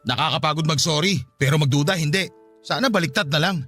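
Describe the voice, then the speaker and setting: A man's voice: fairly high pitch, fast, bright, forceful tone, faint music behind. A man playing an exasperated, wounded husband, emotional and confrontational, in a radio drama. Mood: bitter, weary. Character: exasperated, wounded husband, defiant and weary of distrust. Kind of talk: radio drama dialogue acting.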